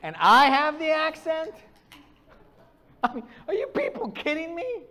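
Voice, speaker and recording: Middle-aged pastor, northern US accent, high tenor, wry self-mocking storyteller. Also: male voice, very animated, measured pace, pushed and bright. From Upper Midwest of the US. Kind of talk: sermon.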